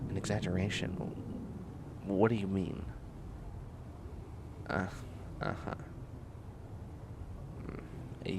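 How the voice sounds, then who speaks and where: A man's voice: fairly low pitch, gravelly, a little hiss or hum. A man playing a tired, prickly, bookish biotech student in a sci-fi comedy audio roleplay, with a wry, fussy, rambling delivery. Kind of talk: scripted comedic audio roleplay, one side of a conversation.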